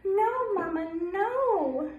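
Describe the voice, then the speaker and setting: Woman voicing high-pitched, excitable little duckling. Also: drawn-out words, phone-quality sound, heavily acted. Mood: scared, pleading. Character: scared little ducklings, small and young, pleading then thrilled. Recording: roomy echo.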